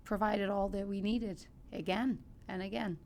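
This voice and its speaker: A woman's voice: mid-range voice, steady tone, normal speed, darkish tone, slight background noise. A middle-aged woman from Ukraine with a Ukrainian accent, telling personal stories conversationally as a podcast guest, earnest and emphatic.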